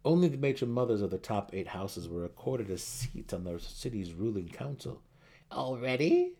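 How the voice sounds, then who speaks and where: A man's voice: fairly low pitch, expressive, normal speed, warm tone, slightly rough. A man narrating a fantasy audiobook dramatically, with theatrical emphasis.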